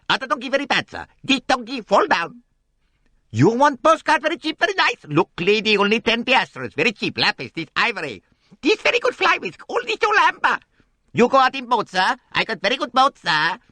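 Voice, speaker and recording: A man voicing a pushy male street hawker who speaks broken English in a rapid sing-song patter. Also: very high-pitched, sing-song, pushed and bright, no room sound, voice pushed up, heavily acted.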